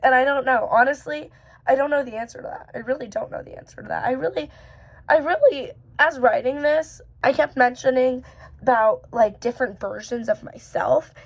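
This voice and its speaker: Woman, hoarse recovering voice, slightly high pitch, casual vlog, rambling, thinking aloud. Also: moderate pace. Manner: rambling and musing, many fillers and self-questions. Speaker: vlogger. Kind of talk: casual reflective talk to camera.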